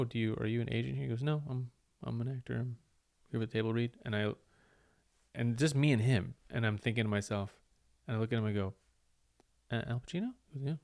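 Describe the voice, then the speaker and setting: A man, a comedian, telling a rambling story in casual chat and acting out the quoted lines. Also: medium pitch.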